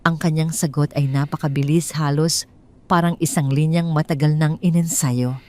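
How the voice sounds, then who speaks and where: Low-voiced Filipino woman narrating drama. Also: steady tone, normal speed, dark tone. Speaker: storyteller, narrator. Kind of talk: scripted dramatic audio story narration.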